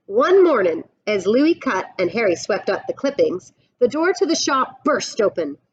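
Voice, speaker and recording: A middle-aged woman teacher reading a storybook aloud with explanatory asides, expressive and deliberate, slightly dull webcam sound. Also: fairly high pitch, breathy edge. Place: indoors, classroom-like room.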